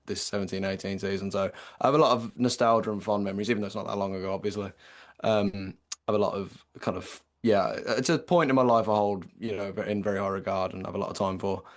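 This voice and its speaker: A man in his late twenties with an English accent and a slightly croaky voice, co-hosting a casual football podcast and chatting with lots of fillers. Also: on the low side. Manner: chatty, many fillers and restarts. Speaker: podcast co-host.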